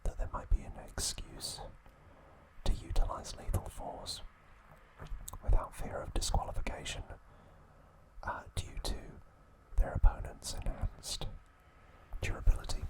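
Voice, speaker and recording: A man whispering an ASMR review at home, gentle and relaxed, with a soft unvoiced whisper. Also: unhurried, pauses often, rough recording. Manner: gentle, relaxed, with occasional fillers. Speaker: ASMR channel host. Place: indoors at home.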